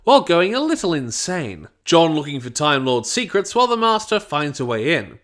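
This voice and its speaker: A man delivers scripted review narration with a wry, informal touch. His voice is fairly high and forceful, and his pitch moves around a lot. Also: very animated, normal speed. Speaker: audio drama reviewer.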